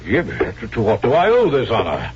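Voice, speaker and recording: A man's voice: medium pitch, wildly swooping pitch, moderate pace, muffled recording, background noise. A man playing a suave swindler with a stagey English accent and courtly manners in a 1970s radio drama. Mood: guarded. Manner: courtly, mannered, smooth-talking. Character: suave male swindler posing as an English business agent. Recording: band-limited vintage radio broadcast with music.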